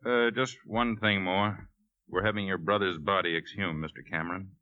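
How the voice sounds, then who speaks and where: An actor playing a blunt, businesslike police captain in a 1950s radio drama. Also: male voice, low voice, steady tone, normal speed, dry recording, natural voice. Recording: dull, dry vintage radio recording.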